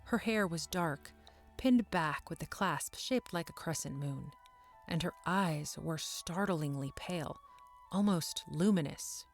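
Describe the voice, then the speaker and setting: A woman reading scripted fantasy narration in a measured, descriptive way. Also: deep voice, faint music behind.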